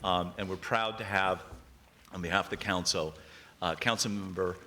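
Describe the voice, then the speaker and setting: Man losing his voice, low and bright, friendly and joking, introduces an award on stage, faint noise under it. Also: fairly even intonation. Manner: friendly, self-deprecating, slow-paced. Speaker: town council member introducing awards. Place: theatre stage at an awards ceremony.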